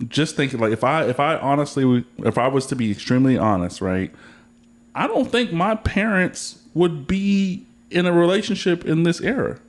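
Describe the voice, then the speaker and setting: A man's voice: on the high side, normal speed. A man of about thirty hosting a casual podcast conversation, rambling and joking in loose, slangy speech. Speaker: podcast host.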